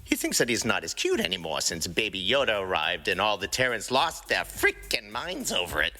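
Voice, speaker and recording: A cocky little raccoon troublemaker, acted out by a man, with a bright, forceful edge and wildly swinging pitch. He sounds defensive and quick to snicker, like a comic audio drama rascal. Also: huge pitch swings, soft background music, exaggerated voice. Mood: cocky storytelling. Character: brash, snickering small male raccoon troublemaker.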